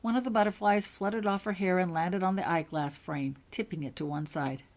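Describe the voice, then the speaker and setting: A woman's voice: on the low side, fairly quick. A woman narrating a scripted story aloud in an audiobook-like reading. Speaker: author reading own fan fiction aloud.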